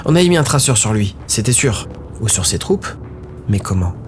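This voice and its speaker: A man's voice: fairly low pitch, big pitch swings, normal speed, a little hiss or hum. A man narrating a scripted story dramatically, in short, brooding, suspenseful phrases. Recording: music behind the voice.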